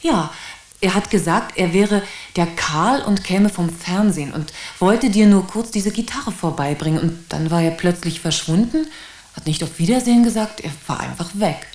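A woman's voice: lowish voice, normal speed, darkish tone, roomy sound, lightly acted. A woman acting an overworked, breezy mother in a German radio play, talking in a chatty way. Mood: puzzled.

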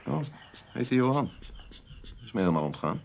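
An actor playing an absent-minded, irritable doctor and husband in a radio play, hesitant and blustering in manner. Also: male voice, mid-range voice, sing-song, a little fast, creaky, a little hiss or hum. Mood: puzzled. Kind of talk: Dutch radio play dialogue. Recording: dull lo-fi radio play recording.

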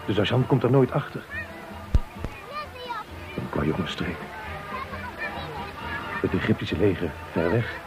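An actor playing a soldier recalling the sea and a comrade in measured radio drama poetry. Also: male voice, low-pitched, expressive, over music, a crowd in the background. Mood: reflective.

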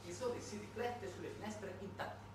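A man's voice: sits high, moderate pace. A man performing a theatrical satirical monologue, with dramatic swings in delivery, as a storyteller on his own. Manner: dramatic delivery swinging between hushed and shouted. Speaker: stage monologist, writer-performer. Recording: echoey, noisy recording from a distance.

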